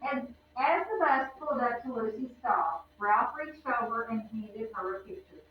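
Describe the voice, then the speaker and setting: A woman reading a picture book aloud to children in a deliberate, emphatic, teacherly way, pausing to put questions to her listeners. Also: lively intonation. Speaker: children's read-aloud storyteller. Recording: roomy, distant, dull low-fi with faint noise.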